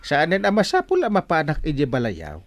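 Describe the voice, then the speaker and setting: Village captain: Ilocano accent, brisk and generous, wildly swinging pitch, close dry sound, radio comedy. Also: male voice, wildly swooping pitch, no room sound, faint music behind. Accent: Filipino, Ilocano. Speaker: radio drama actor.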